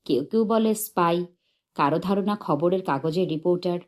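A woman narrating a humorous short story in an expressive, measured and dramatic storytelling manner. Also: fairly low pitch. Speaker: audiobook narrator.

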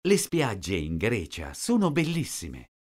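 A man slowly reading out short sentences, each one twice, for a language lesson. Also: on the low side, huge pitch swings. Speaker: language teacher. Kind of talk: slow repeated phrase reading.

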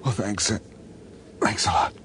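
An actor playing a cornered American crime boss and proud gambler in a radio crime drama, halting and stammering under pressure. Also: male voice, a bit bright, slight background noise, lightly acted. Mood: pained, faltering.

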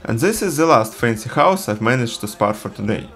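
A man's voice: mid-range voice, lively intonation, a bit bright. Man narrating a travel film. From Russia. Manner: earnest, measured storytelling. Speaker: travel vlogger.

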